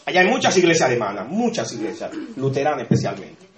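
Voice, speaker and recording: A man with a Dominican Spanish accent, a pastor preaching an exuberant sermon with shouts of praise and laughter. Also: mid-range voice, sing-song, quick pace, background noise. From Dominican Republic. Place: church hall. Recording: echoey church with congregation.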